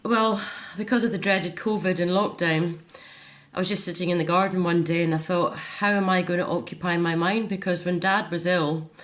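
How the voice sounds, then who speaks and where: A middle-aged woman with a fairly low voice, talking as a guest in a radio interview. She gives a candid, reflective personal account in a conversational, emphatic way.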